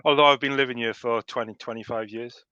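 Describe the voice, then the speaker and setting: Bright-voiced Welshman in his fifties. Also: mid-range voice, steady tone, quick pace, smooth, dry recording, lo-fi sound. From South Wales. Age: 50s. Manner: chatty storyteller, frequent restarts and chuckles. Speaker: guest. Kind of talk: casual remote interview.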